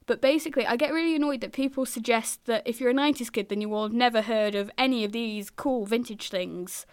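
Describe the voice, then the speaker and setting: A woman's voice: a little high, fast talker, clean tone, no room sound. Childlike-voiced young woman. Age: 20s. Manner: animated, self-deprecating, mildly ranting. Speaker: radio co-host. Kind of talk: casual radio chat. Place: student radio studio.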